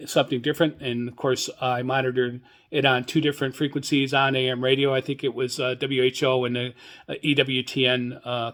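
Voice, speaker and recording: A man casually hosting a solo radio hobby show, talking enthusiastically in a halting, rambling way and often repeating his words. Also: mid-range voice, fairly bright tone.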